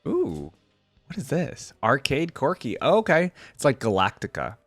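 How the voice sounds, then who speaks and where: A man's voice: mid-range voice, wildly swooping pitch, normal speed, a bit bright, dry recording, soft background music. A man giving casual, enthusiastic reaction commentary as a gaming video host, full of exclamations and slangy phrasing.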